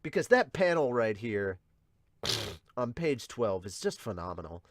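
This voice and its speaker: A man's voice: fairly low pitch, big pitch swings, a little slow, a crowd in the background. A man giving casual, enthusiastic reaction commentary as a show host, emphatic and given to swearing. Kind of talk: casual reaction commentary with dramatic read-alouds.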